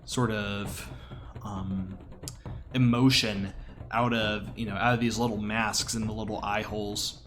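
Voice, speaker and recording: A man's voice: fairly low pitch, fairly even intonation, a little slow. A man giving casual, enthusiastic live commentary on his own video game speedrun in a conversational way. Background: quiet game audio.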